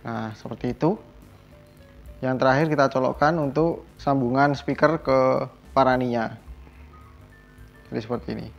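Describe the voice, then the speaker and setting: A man's voice: medium pitch, fairly even intonation, normal speed, gravelly, pauses often. A man casually narrating a hands-on tutorial, explaining step by step as a vlogger. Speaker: vlogger demonstrating a product installation. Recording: dull lo-fi sound, music behind.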